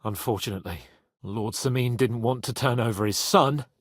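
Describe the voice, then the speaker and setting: An actor playing a proud, hot-headed young medieval nobleman in a dramatic video game, with a haughty and expressive delivery. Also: mid-range voice, normal speed, no echo, clean studio sound, natural voice. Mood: formal, deferential. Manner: haughty, expressive, swearing freely.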